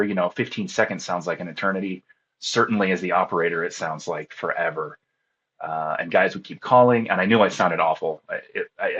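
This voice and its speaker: A man with a low, husky voice talks casually as an interview guest, recounting his experiences in a conversational way. The sound is slightly muffled and low in fidelity. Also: a bit bright. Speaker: interview guest, ham radio contest operator.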